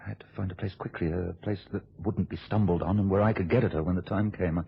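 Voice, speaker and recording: A nervy, scheming husband in a radio play, husky and rapid. He stammers politely with a swooping, animated delivery, heard on a dull old radio recording. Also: deep voice, full character voice. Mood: tense, conspiratorial. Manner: stammering, hurried, over-polite. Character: nervy, scheming husband playing the flustered host. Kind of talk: radio drama acting.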